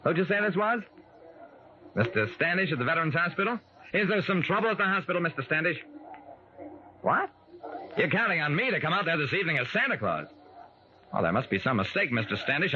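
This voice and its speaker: An actor with an American accent playing an affable private detective in an old radio drama. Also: male voice, a little high, sing-song, fast talker, long pauses, pushed and bright, highs cut off, exaggerated voice. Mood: apologetic, puzzled. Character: affable male private detective narrating his casebook. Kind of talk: 1940s-50s radio acting. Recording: noisy, band-limited old radio recording.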